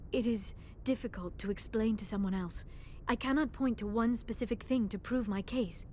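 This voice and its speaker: A woman's voice: fairly high pitch, slight background noise, close to an everyday voice. A woman voicing an earnest, polite young alien scholar in a video game, speaking in a precise, formal way. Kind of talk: video game dialogue acting.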